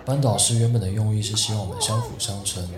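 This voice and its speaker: Low-voiced, dreamy Taiwanese schoolboy played by a man. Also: steady tone, quick pace, loud music behind the voice, natural voice. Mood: reflective. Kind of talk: Mandarin radio play acting and first-person narration.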